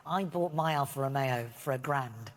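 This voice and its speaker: A man's voice: medium pitch, normal speed. A man, a guest on a chat show, telling chatty self-deprecating anecdotes. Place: TV studio. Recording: long studio reverb, faint noise.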